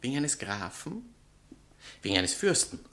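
An actor playing a vain, nervous writer and former lover in a satirical radio play, theatrical, sarcastic and easily agitated. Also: male voice, medium pitch, big pitch swings, unhurried, a bit bright. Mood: dry mockery.

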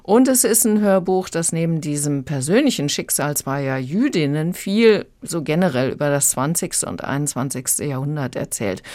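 A woman with a low voice speaks as a reviewer in a radio conversation. She explains in a conversational way, in a very dry acoustic. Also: darkish tone, no room sound. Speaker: audiobook reviewer.

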